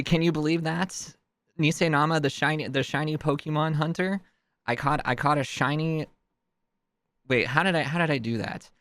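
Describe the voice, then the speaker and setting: A man with a stuffed-up nose rambles off the cuff, stopping himself mid-thought and leaping up in pitch when he gets unsure. His voice comes through smooth and clean, recorded close and dry with no room sound. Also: a bit bright, dry recording. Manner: rambling, self-interrupting, chuckling, jumps in pitch. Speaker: streamer. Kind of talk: casual solo rambling.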